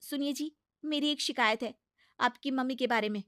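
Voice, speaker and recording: Woman voicing a high-pitched nagging young daughter-in-law. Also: moderate pace, fairly bright tone, no echo, studio quality. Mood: complaining. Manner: insistent, pleading, scolding. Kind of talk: acted comic family story dialogue.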